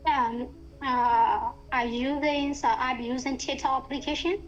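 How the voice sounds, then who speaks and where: Hesitant high-voiced Thai woman. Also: fairly even intonation, measured pace, lo-fi sound, faint music behind. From Thailand. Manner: hesitant, searching for words with many fillers. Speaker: guest, university student. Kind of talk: casual language-practice chat.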